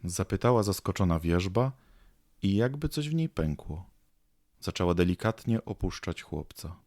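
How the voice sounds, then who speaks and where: A man's voice: deep voice, lively intonation, moderate pace, darkish tone, no room sound, clean studio sound. A man narrating an audio drama in a scripted storytelling style.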